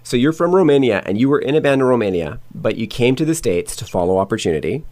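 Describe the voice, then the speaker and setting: A man's voice: medium pitch, sing-song, fast talker, a little mellow. A man hosting an interview show, welcoming his guest and asking questions in an upbeat presenter manner. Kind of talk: hosted interview.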